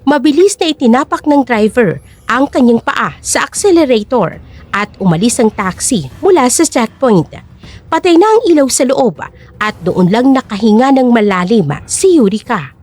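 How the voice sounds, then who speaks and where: A woman's voice: fairly high pitch, animated, normal speed, a little mellow. A woman narrating a dramatic scripted story in Tagalog.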